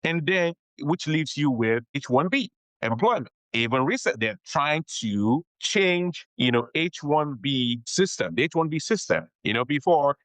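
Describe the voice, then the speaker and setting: A man's voice: fairly high pitch, lively intonation, a little slow, few pauses, a bit bright, dry recording. A man being interviewed on a podcast as an immigration attorney, explaining things patiently, repeating his key advice and often checking in with "okay?".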